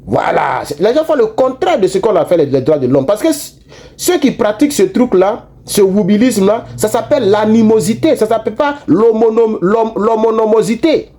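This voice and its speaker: Male online commentator, talks straight to viewers, lively and repetitive, mellow tone. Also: high-pitched, normal speed. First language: not French. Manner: animated, repetitive, frequent restarts and fillers. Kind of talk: casual political commentary to viewers.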